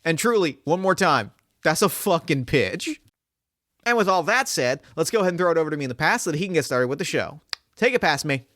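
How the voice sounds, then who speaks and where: A man with a somewhat high voice speaks as an enthusiastic podcast host. His delivery is emphatic and effusive, with casual swearing. The sound is very dry, with hardly any room on it. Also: animated, a little fast, fairly bright tone, dry recording. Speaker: podcast host and game master. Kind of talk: enthusiastic podcast intro and chat.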